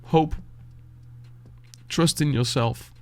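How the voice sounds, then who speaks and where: A Dutch man, a native Dutch speaker, talking softly and haltingly, searching for words and sighing as he reflects after a song. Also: fairly high pitch. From Netherlands. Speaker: beatboxer reacting to music. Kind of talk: reflective reaction talk.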